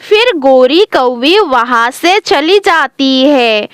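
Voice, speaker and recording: High, slow woman storyteller. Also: expressive, fairly bright tone, dry recording, studio quality. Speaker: narrator. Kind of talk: scripted children's story narration.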